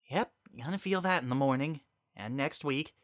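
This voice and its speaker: A man's voice: medium pitch, normal speed, a bit bright, no echo, studio quality, voice pushed up, natural voice. A man voicing a young female cartoon pony who is weary, guarded and wryly sarcastic in a storybook reading. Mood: wry, tired.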